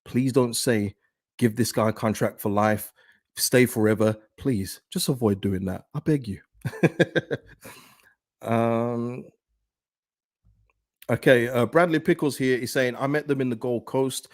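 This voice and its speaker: A man with a deep, soothing voice hosting a football fan channel, chatting in a casual, rambling way as he talks with viewers. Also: measured pace, pauses often, dry recording, studio quality. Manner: conversational, rambling. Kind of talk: casual livestream chat, reading and answering viewer comments.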